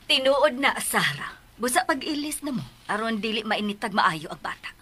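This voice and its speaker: A woman's voice: a little high, very animated, moderate pace, sharp tone, slightly rough, heavily acted. A woman playing a bossy but doting grandmother in a Cebuano Filipino radio drama, with a scolding, teasing manner. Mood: brisk, scolding.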